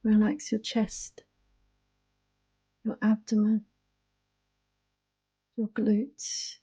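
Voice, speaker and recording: A woman guiding a yoga nidra relaxation in a soothing, hushed, unhurried manner, close to the microphone. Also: mid-range voice, monotone, breathy edge, no room sound, poor audio. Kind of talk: guided relaxation meditation.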